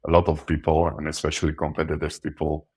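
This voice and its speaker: Very deep-voiced man, flat delivery. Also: fast. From coastal Belgium. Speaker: guest, sales account executive. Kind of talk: casual interview talk.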